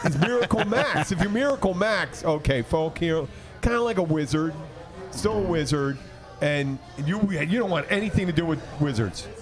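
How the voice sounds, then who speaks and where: Male podcast host, voice a little on the high side; loose, rambling, teasing and ready to argue. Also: expressive, moderate pace. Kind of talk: casual banter.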